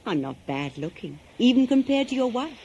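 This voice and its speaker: An actress playing a lovelorn, coaxing immortal goddess in a radio play, with a languid, sighing manner. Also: female voice, fairly high pitch, very animated, normal speed, darkish tone, lo-fi sound. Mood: coaxing, wistful. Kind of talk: 1970s radio play acting. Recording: slightly noisy old cassette recording.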